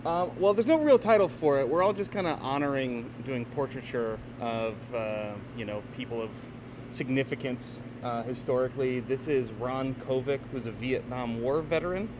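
A man from Northern California talks in a relaxed way about his mural, filling pauses with uhs. His voice has a medium pitch. The audio is dull, with clear traffic noise behind him. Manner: relaxed, storytelling with many uhs. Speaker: muralist being interviewed. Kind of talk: casual on-street interview. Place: outdoors on a city street. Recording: dull, noisy street audio.

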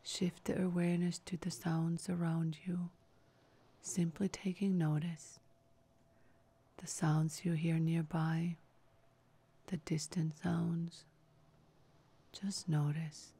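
Woman meditation guide, soft near-whisper, very slow pacing, mellow dark tone, calm and soothing, scripted relaxation. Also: on the low side, husky. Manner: calm, soothing, measured instruction. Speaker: yoga nidra meditation guide.

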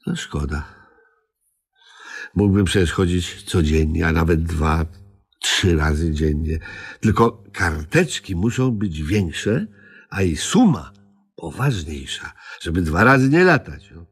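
A man's voice: sits low, lively intonation, slow pace, breathy edge, exaggerated voice. An actor playing a sulky, self-justifying grown man in a radio comedy, grumbling, whining and repeating himself in indignation. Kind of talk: comedic radio play acting.

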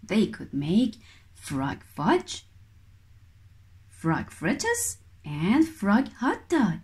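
A woman reading a storybook aloud to young children with expressive, deliberate phrasing. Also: medium pitch. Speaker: children's book reader.

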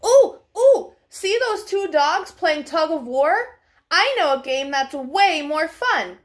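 Woman as a high, eager storybook puppy. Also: very animated, slow pace, heavily acted. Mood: eager, puzzled. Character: big-hearted, eager, excitable storybook dog.

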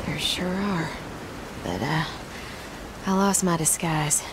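A woman's voice: low-pitched, slow, background noise. An actress playing a bold, wry young frontier woman in a video game, frank and self-assured. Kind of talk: video game cutscene acting.

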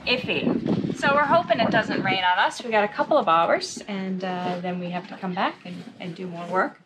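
A woman's voice: mid-range voice, normal speed, background noise. A middle-aged woman chatting casually to a handheld camera outdoors as a travel vlogger. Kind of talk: casual vlog chat. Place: outdoors on boat, paths and open beach.

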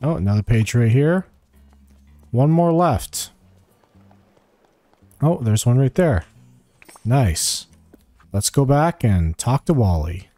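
A man's voice: medium pitch, animated, slow pace, pauses often, dark tone, faint music behind. A man giving a casual video game walkthrough, thinking aloud in short, offhand remarks as he plays. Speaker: gamer giving a quest walkthrough. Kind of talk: casual gameplay commentary.

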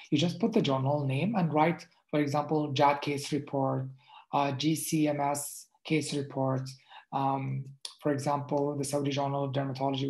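Gravelly man lecturing over a video call. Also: mid-range voice, a little mellow, rough recording. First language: Arabic. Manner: conversational, frequent restarts and fillers. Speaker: guest lecturer, dermatologist. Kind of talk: casual online lecture over slides.

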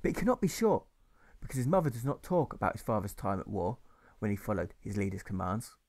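A man's voice: fairly low pitch, very animated, fast talker, darkish tone. A man reading his own rhyming novel as scripted audiobook narration, with a rhythmic delivery.

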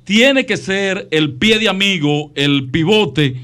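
A middle-aged Dominican man with a high voice makes his case on a talk show panel. He is insistent and repeats phrases to drive each point home, speaking into a studio mic. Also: lively intonation, slow, pushed and bright, smooth. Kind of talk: panel debate. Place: radio studio. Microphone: studio microphone.

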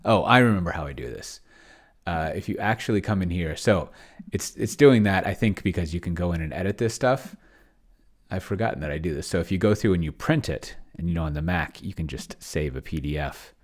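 A man's voice: low voice, expressive, brisk. A middle-aged man hosting a casual screen-share walkthrough, rambling and muttering asides to himself as he thinks aloud. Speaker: host of a solo roleplaying demo stream. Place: indoors at a desk, on webcam.

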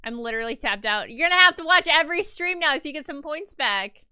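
Bright, high-voiced woman streamer. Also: animated, fast, no echo, studio quality. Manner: chatty, rambling, frequent fillers and restarts. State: slightly stuffy from a cold. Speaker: livestreamer. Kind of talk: casual chat with viewers.

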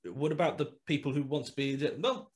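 A man's voice: a little high, fairly quick, crowd noise. A man in his thirties, the creator of a podcast, chatting on a video call through a headset, rambling and restarting his sentences. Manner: rambling, restarts often, trails off into asides. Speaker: podcast creator and writer as guest. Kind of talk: casual video-call interview. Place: small room at home, video call.

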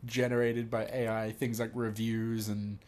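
A man's voice: lowish voice, flat delivery, normal speed, a little mellow. A man casually reading aloud and commenting as host, amused and giggly, swearing now and then.

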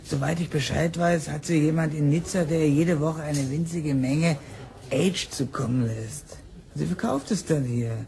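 An actor playing a worn-out, jittery drug addict in a German radio crime drama. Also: male voice, a little high, a little slow, creaky, warm tone. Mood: confiding. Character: worn-out, jittery heroin addict, evasive and frank.

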